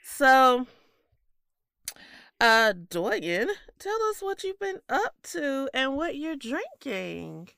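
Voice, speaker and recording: A woman co-hosting a podcast in casual chat, with a stuffy nose and a relaxed, chatty manner. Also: sits high, very slow, gravelly, no room sound, clean studio sound. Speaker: podcast co-host.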